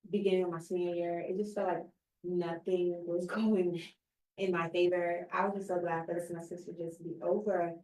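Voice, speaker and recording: A woman's voice: fairly low pitch, dark tone, slight background noise. A young woman in her twenties, a recent college graduate, speaking earnestly and expressively in a casual conversation. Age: 20s. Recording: roomy sound, somewhat distant mic.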